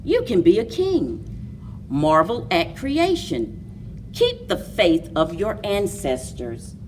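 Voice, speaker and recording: A woman hosting a children's story time, speaking in a deliberate, expressive read-aloud manner for young listeners. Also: mid-range voice, recorded from a distance, slight background noise. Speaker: library story time host.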